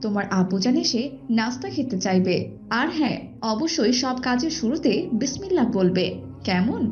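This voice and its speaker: A woman's voice: fairly high pitch, very animated, normal speed, a little mellow, faint music behind. A woman playing a stern, pious mother who instructs and scolds in a moral cartoon. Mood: gentle, advising. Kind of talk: dubbed Islamic moral cartoon dialogue. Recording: dull, lo-fi sound.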